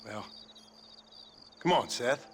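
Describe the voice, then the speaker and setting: A man playing a rugged, stoic gunslinger in a Western game, blunt and dry, with a gravelly American Western drawl. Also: medium pitch, animated, slow pace, noisy background. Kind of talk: dramatic Western video game acting.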